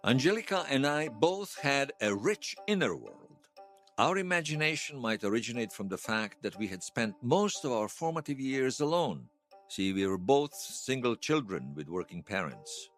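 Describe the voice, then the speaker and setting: A man's voice: mid-range voice, sing-song, moderate pace, fairly bright tone, faint music behind. An actor playing a nostalgic, wryly amused man recalling his past conversationally in an interview-style audio drama. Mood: reflective, explanatory. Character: nostalgic man recalling lost love in a future world.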